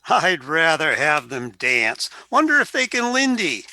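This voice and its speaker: A man's voice: on the high side, big pitch swings, a little slow, sharp tone, no room sound, full character voice. A man playing a flippant but caring, tipsy older brother from 1920 in a radio play.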